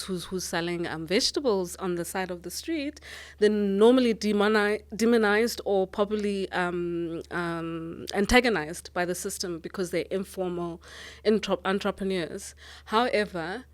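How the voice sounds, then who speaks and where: A woman from Lesotho, a managing director answering interview questions earnestly, often pausing with ums. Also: lowish voice, fairly even intonation. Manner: earnest, thoughtful, with frequent ums and restarts.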